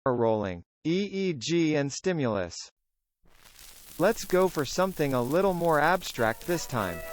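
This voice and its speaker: An actor playing a clinical researcher in an analog horror drama, questioning a test subject in a measured, methodical way. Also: male voice, a little high, sing-song. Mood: clinical, probing. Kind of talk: analog horror found-footage acting, interview.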